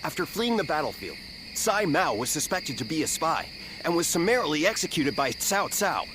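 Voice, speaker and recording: A man's voice: on the high side, sing-song, moderate pace, noisy background. A man playing a dutiful army scout in a video game, giving a formal report. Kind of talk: video game cutscene acting.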